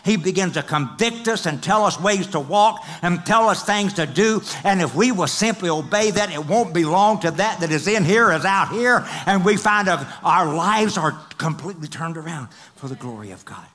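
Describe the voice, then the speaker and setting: A middle-aged man preaching a sermon on a large church stage into a headset mic, swinging from loud, excited passages to hushed whispers. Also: sits high, normal speed, few pauses. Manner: paces the stage and gestures. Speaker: pastor.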